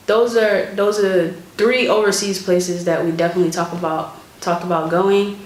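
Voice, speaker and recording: A woman in her twenties from Florida chatting casually to viewers as host of a family vlog. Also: mid-range voice. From Florida, United States. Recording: roomy echo, faint background noise.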